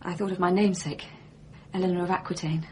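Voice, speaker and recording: A woman's voice: lowish voice, fairly even intonation, fast, slight background noise, natural voice. An actress with an English accent playing a proud, troubled young woman accused of murder, restrained and brooding in a radio drama. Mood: brooding, confessional. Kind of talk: broadcast radio mystery drama acting.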